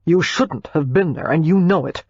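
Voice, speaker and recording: A woman voicing a stiff, proper young gentleman. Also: on the low side, animated, moderate pace, husky, no echo, highs cut off. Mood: defensive. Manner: clipped, restrained, defensive. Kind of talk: audiobook character voice.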